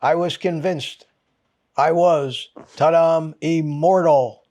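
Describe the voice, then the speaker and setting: A man with a mild head cold rants at his viewers. He speaks in a fairly high voice and takes his time, hammering each point home in short, exasperated bursts, with a curse word now and then for emphasis. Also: steady tone, darkish tone. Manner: emphatic, exasperated, punchy short phrases with pauses; swears for emphasis. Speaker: channel host. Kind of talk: ranting monologue to viewers.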